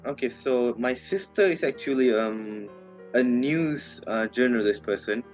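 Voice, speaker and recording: A man's voice: medium pitch, animated, a little slow, dull audio, faint music behind. A young man with a Malaysian English accent, co-hosting a casual podcast chat and laughing often. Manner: giggly, laughs often between lines. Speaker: podcast co-host.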